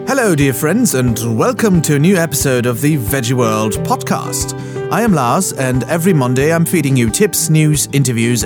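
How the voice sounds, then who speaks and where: A man from Germany with a German accent, hosting a podcast in casual, rambling English full of fillers and self-corrections. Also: medium pitch. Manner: rambling, self-deprecating, many fillers and restarts. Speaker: podcast host. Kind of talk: casual podcast intro monologue.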